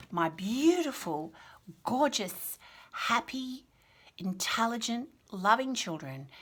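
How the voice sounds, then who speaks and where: A woman's voice: a little high, fairly bright tone. A woman reading to toddlers as a children's storyteller, encouraging and sing-song, carefully sounding out words with chatty asides. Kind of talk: read-aloud picture book lesson for toddlers, chatty asides.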